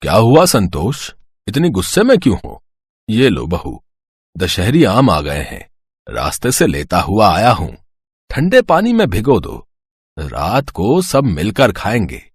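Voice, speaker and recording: A man's voice: on the low side, huge pitch swings, a little slow, slightly rough, no echo, heavily acted. A man playing a reasonable, mildly exasperated husband and father in an audio story, talking in a placating way. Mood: genial, concerned.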